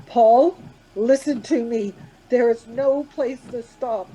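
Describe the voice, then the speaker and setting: A woman's voice: a little high, slow, mellow, slight background noise, poor audio. A woman playing a frightened, pleading wife in a radio play about travellers stranded on a snowy pass. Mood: anxious, pleading. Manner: pleading, urgent.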